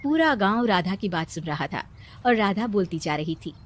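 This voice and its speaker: Woman reading out a cartoon story, plain even telling. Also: medium pitch, moderate pace, background noise. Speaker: narrator. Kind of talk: storybook narration.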